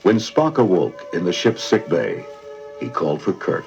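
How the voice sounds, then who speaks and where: A man's voice: deep voice, wildly swooping pitch, normal speed, gravelly. A man giving dramatic scripted narration as the narrator of a storybook record. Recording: dull vintage record, loud music.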